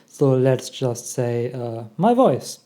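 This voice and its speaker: A young man with a German accent, hosting a casual software tutorial and chuckling at the results. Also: medium pitch, very animated, takes it slowly. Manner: chatty, laughs often at results. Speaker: tech tutorial host. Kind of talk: casual screen-recorded tutorial.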